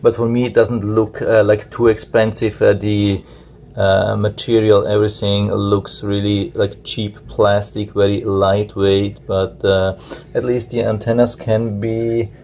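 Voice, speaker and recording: Low gravelly man, German native speaker. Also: measured pace, a little hiss or hum. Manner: hesitant, many uh fillers, relaxed and explanatory. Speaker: product reviewer. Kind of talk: casual unboxing review. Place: indoors at a table.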